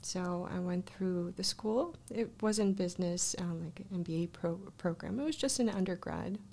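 A woman's voice: fairly low pitch, moderate pace, creaky, warm tone. A woman from Russia with a Russian accent, telling her personal story as a guest in a casual interview, close on a studio microphone. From Russia, born in Kazakhstan. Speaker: life coach, podcast guest. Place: small podcast studio, indoors. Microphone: close studio microphone, headphones.

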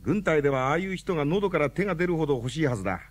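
Animated, high-voiced young man. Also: rapid-fire. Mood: explanatory. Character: excitable, talkative young man, knowing and protective. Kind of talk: radio drama acting.

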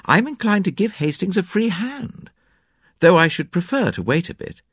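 Guy putting on a fretful gentleman, voice pushed high, storybook read. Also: very animated, moderate pace, husky, no echo, studio quality, heavily acted. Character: hesitant, worried gentleman of the house.

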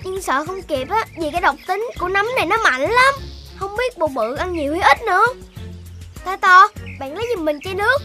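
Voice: very high-pitched, animated, brisk, bright, forceful tone, heavily acted. A performer voicing a clever, caring young puppy leader in a children's cartoon, earnest and instructive, with a southern Vietnamese accent. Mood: urgent. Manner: earnest, instructive, taking charge. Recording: music behind the voice.

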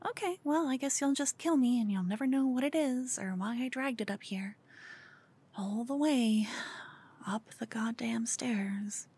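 A woman's voice: a little high, a little slow, darkish tone. A woman voicing a cute, obsessed human fangirl in an ASMR roleplay, stammering, flustered and brazen, often slipping toward a whisper. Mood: smitten, breathy. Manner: stammering, breathy, dropping into whispers. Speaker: ASMR voice performer.

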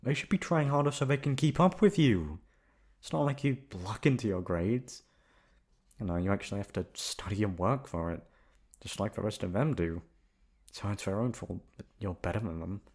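A young man playing a gruff but affectionate, protective delinquent student in an intimate ASMR roleplay, talking in a casual, foul-mouthed way. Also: on the low side, animated, normal speed, darkish tone. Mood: indignant, angry.

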